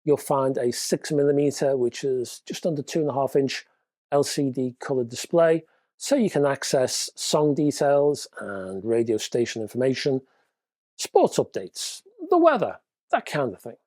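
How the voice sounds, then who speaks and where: A man's voice: medium pitch, expressive, moderate pace, darkish tone, dry recording. A man hosting a hi-fi news roundup, reading out product details in a casual, chatty way with offhand asides. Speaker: host.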